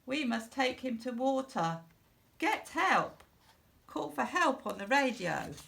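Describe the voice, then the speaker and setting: An anxious, excitable young boy from a storybook , acted out by a woman reader. The voice jumps up high, urgent and exclamatory, pleading at a raised volume. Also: takes it slowly, gravelly, exaggerated voice.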